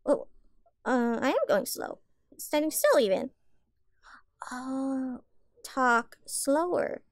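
A woman's voice: sits high, animated, slow pace, no room sound, clean studio sound, full character voice. A woman playing a small, meek, eager-to-please catgirl in an audio roleplay, stammering hesitantly and apologetically. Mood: meek, uncertain. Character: timid, eager-to-please small catgirl, homeless stray kitten. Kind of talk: audio roleplay addressed to the listener.

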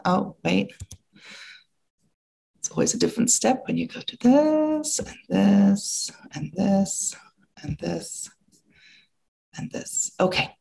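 German-accented older woman with gravelly voice. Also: medium pitch, very animated, takes it slowly, pauses often, warm tone, rough recording. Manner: reflective, thinking aloud with frequent restarts and fillers. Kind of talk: conversational talk. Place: home room, video call. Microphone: video call.

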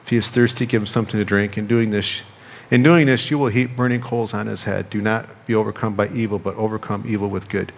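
A middle-aged American man preaching a sermon as a pastor, speaking in measured phrases with pauses and repetition. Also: fairly low pitch, steady tone, gravelly. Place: church sanctuary, indoors at a lectern. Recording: reverberant church hall.

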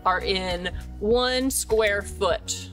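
A woman's voice: mid-range voice, very slow, over music. A young woman from Pennsylvania working as a park ranger, explaining the area to visitors outdoors in a friendly way and asking them questions. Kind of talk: guided ranger talk to visitors. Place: outdoors at a mountain meadow.

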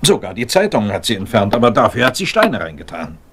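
A man's voice: on the low side, huge pitch swings, fast talker, background noise. A man playing a puzzled, indulgent sidekick to a detective in a radio crime drama, dry and questioning. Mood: puzzled, brooding.

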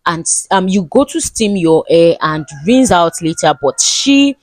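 A woman's voice: lowish voice, lively intonation, measured pace, no echo. A young woman narrating a vlog voice-over in a chatty way, repeating words for emphasis. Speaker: beauty vlogger.

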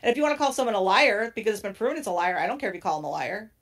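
Woman panelist, mid pitch, earnest and emphatic. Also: very animated, very fast, fairly bright tone. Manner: earnest, emphatic, laughs between points. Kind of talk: casual panel discussion.

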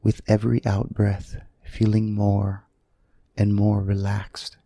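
A man leading a guided sleep meditation in a soothing, gentle manner, leaving long pauses between short phrases. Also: lowish voice, completely flat delivery, gravelly, slightly rough, dry recording.

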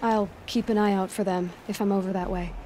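A woman's voice: mid-range voice, steady tone, brisk, warm tone, slight background noise, natural voice. A young woman playing a blunt, self-possessed huntress in a video game, speaking tersely and directly. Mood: businesslike. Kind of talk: video game dialogue acting.

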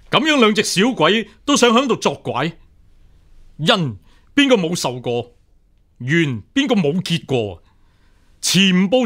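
A man with a Hong Kong Cantonese accent playing a stern, righteous imperial examiner in a radio drama, forceful and oratorical. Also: sits high, heavily acted. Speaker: actor.

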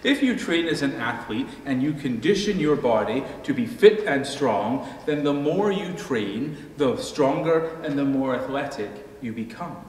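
A middle-aged man, a pastor, preaching a measured sermon from a pulpit in a church sanctuary, slowing down at the ends of his phrases. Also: medium pitch, expressive, a little mellow. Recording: echoey hall, faint room noise.

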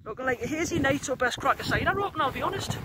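Woman, gruff but high-pitched voice, chatty and teasing, filming on her phone out on the moors. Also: lively intonation, racing, heavy background noise. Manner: chatty, teasing, laughs often. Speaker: outdoor vlogger. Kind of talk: casual handheld camping vlog. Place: open moorland outdoors. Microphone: phone camera held by the speaker.

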